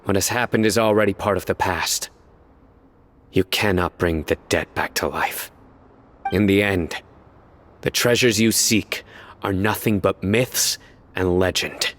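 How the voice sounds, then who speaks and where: An actor playing a stern, unyielding young judge-warrior in a video game, terse, grave and resolute in delivery. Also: male voice, deep voice, unhurried, long pauses, a bit bright. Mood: grave resolve. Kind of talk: dramatic video game voice acting.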